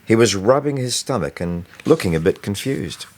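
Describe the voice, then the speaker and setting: A man with a low-pitched voice reads his own story. He delivers it as an expressive audiobook narration, acting as storyteller. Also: normal speed. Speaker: author reading own novel.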